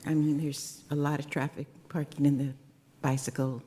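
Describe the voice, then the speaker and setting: A woman's voice: deep voice, little pitch movement, normal speed, warm tone, long reverb tail. A woman on the committee asking a hesitant question at a public meeting, restarting as she goes. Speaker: committee member. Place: council chamber.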